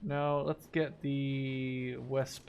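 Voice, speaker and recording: A man's voice: medium pitch, little pitch movement, extremely slow, muffled recording, over music. A man in his twenties giving casual gameplay commentary, thinking aloud informally as he plays. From Netherlands. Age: 20s. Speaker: gaming video host.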